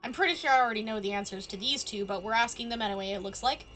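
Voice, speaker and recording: A woman's voice: on the high side, racing, a bit bright, faint music behind, rough recording. A woman live-streaming a video game, chatting casually and reading dialogue options aloud.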